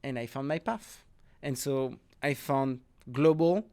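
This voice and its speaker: French-accented middle-aged academic man, explanatory podcast guest, plain mid-pitched voice, loose phrasing. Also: slow pace, dark tone. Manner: explanatory, loose non-native phrasing with restarts. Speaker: marine ecology professor, podcast guest. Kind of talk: podcast interview.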